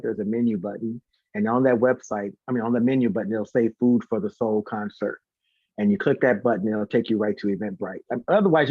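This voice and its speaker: A man's voice: mid-range voice, a little mellow, no echo, muffled recording. A man chatting casually and informally as an interview guest, talkative and prone to repeating words for emphasis. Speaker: guest, singer and concert organizer.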